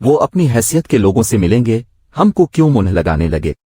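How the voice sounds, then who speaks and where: Male narrator as modest, resigned mother in a moral story, admonishing plainly, husky, dry close sound. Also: fairly low pitch, sing-song, fairly quick, dark tone, no room sound. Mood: sceptical, chiding. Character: resigned middle-aged mother of modest means, cautioning.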